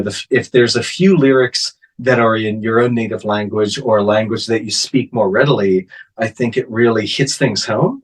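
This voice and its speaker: A man interpreting for an interview guest, speaking her answers in first person in a measured way with plenty of fillers. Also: lowish voice, breathy edge, poor audio.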